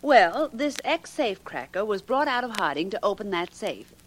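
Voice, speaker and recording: An actress playing a pert, inquisitive detective's confidante in a 1940s radio drama, speaking with confidence. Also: female voice, medium pitch, big pitch swings, normal speed, close to an everyday voice. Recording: faintly noisy old radio recording.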